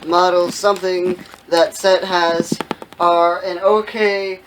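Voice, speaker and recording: Slow-talking, dryly sarcastic co-host. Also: mid-range voice, little pitch movement, background noise. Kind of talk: casual joking commentary.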